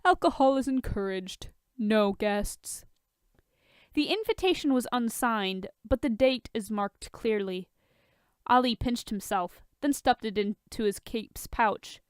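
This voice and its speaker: A woman's voice: fairly high pitch, expressive, unhurried, clean tone, dry recording, studio quality. A woman reading a fan fiction story aloud as scripted narration. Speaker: audiobook reader of fan fiction.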